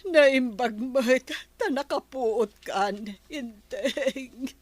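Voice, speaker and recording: A woman acting as a patient, peacemaking wife with an Ilocano accent. Her fairly high voice is slow and earnest, recorded dry and close in radio drama style. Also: no echo, exaggerated voice. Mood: gentle.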